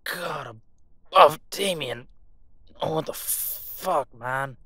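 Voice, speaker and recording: Man acting a husky, defiant captive werewolf alpha. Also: fairly high pitch, slow, a bit bright, dry recording, exaggerated voice. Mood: pained, irritated. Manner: profane, sardonic, urgent persuading. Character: captive male werewolf alpha soldier, defiant, pragmatic, protective. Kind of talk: audio roleplay acting.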